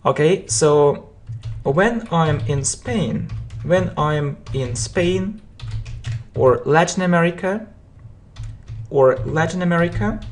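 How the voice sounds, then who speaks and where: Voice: mid-range voice, a little hiss or hum. A teacher giving a language lesson who repeats each example phrase and often breaks it into separate words for the learner to follow. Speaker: English teacher. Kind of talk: slow drilling language lesson.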